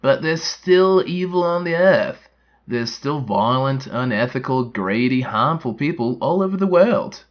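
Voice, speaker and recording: A man's voice: medium pitch, lively intonation, measured pace, lightly acted. A man playing a blunt, skeptical military commander in scripted comic dialogue, questioning everything with disbelief, through a dull, narrow sound. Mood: incredulous. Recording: dull, band-limited, filtered-sounding voice.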